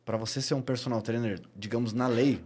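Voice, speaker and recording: A man's voice: mid-range voice, fairly even intonation, a little fast, darkish tone, husky. A middle-aged Brazilian man telling stories as a podcast guest, emphatic and given to repeating key phrases. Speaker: podcast guest, personal trainer. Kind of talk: animated storytelling in a podcast interview.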